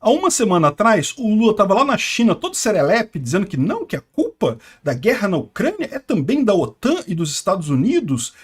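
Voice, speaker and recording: A man's voice: high-pitched, slightly rough. A Brazilian man giving sarcastic, indignant political commentary. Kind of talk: opinionated news commentary.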